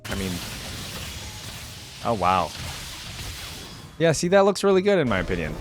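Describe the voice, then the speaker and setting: Male reaction host, casual and unscripted, lively swings in pitch, faint music behind him. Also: a little slow. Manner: informal, thinking aloud.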